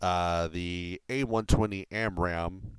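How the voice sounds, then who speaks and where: A man's voice: low voice, steady tone, slow, clean tone, no room sound. A man streaming a flight combat game, explaining it casually on a desk mic, rambling with lots of fillers and the odd swear word. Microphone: desk microphone through a mixer.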